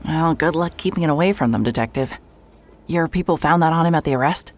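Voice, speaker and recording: A hard-boiled private investigator, played by a woman, with a very low voice. She talks in a dry, sarcastic way and fires off quick retorts. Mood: wry. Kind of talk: audio drama acting.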